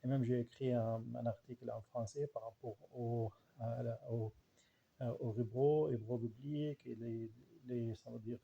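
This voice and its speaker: Guy with arabic accent, native arabic speaker, really soft dark tone, creaky, keeps stumbling and repeating words while talking off the cuff. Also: mid-range voice, fairly even intonation, lo-fi sound, slight background noise. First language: Arabic dialect. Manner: halting, searching for words, much repetition. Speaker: language researcher and writer. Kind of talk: informal spoken reflection.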